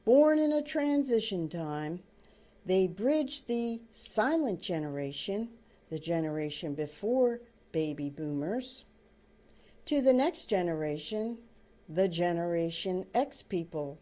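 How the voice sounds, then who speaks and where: Older woman, author narration, storybook reading, unhurried pace, warm mellow timbre, halting deliberate phrasing. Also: on the low side, lively intonation. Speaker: author reading own book.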